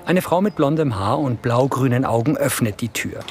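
A man reading scripted audio description for a TV crime series in a measured, matter-of-fact way. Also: mid-range voice, close to an everyday voice. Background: music behind the voice.

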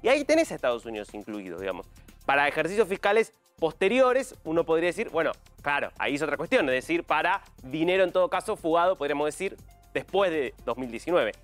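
A man's voice: on the high side, fast, soft background music. A man in his thirties with an Argentine accent, explaining figures as a panelist on a studio news show. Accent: Argentine Spanish. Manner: explanatory, lively intonation. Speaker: panelist economics analyst.

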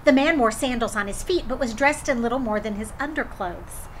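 Woman reading a kids folktale out loud, highish voice, expressive, little bit of noise behind. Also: a little fast, poor audio. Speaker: drama storyteller.

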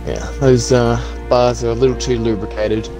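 A man playing a calm, practical zombie apocalypse survivor in an improvised game roleplay, talking in an encouraging, instructive way. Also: medium pitch, normal speed, over music, lightly acted. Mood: matter-of-fact.

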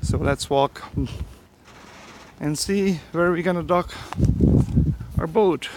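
A man casually narrating a walking tour of a marina, talking slowly with frequent fillers, recorded outdoors on a handheld phone. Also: fairly high pitch. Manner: slow, halting, with frequent fillers. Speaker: sailboat owner vlogger. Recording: loud wind noise outdoors.

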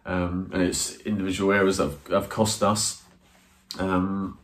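A man's voice: low-pitched, little pitch movement, unhurried. A man, reviewing a football match to camera from a small room, hesitant with many ums and restarts. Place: small indoor room, plain wall. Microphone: camera in front, seated.

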